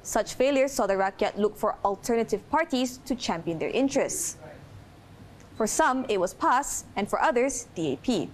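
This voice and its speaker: A female reporter narrates a prepared news report. Her voice is fairly high, and faint noise sits behind it. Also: lively intonation, moderate pace.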